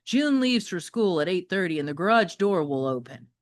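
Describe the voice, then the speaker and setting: A woman's voice: on the low side, sing-song, normal speed. A woman voicing a confident, courteous male robot dog with a formal, instructive way of talking in a children's story. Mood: courteous, assured. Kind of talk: children's novel read aloud.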